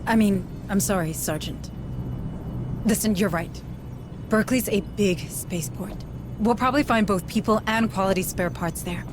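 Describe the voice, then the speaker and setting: Actress as a determined administrator, noisy background. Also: female voice, normal speed, close to an everyday voice. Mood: conciliatory. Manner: urgent, authoritative, direct. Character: earnest, determined female colony administrator under pressure. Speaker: game character actor. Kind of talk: dramatic video game acting. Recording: clear ambient rumble behind voice.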